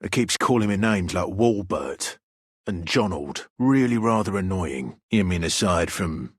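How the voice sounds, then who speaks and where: An actor playing a suave, blunt video game character with a husky, breathy voice and dry, drawling delivery. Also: male voice, deep voice, expressive, measured pace, no echo, full character voice. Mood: mildly annoyed. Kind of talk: acted video game dialogue.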